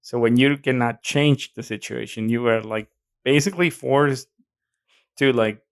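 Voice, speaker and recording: A man's voice: mid-range voice, measured pace, no room sound, clean studio sound. A man with a Mexican accent co-hosting a podcast, talking casually with many fillers and hesitations. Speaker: podcast co-host. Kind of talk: casual chat.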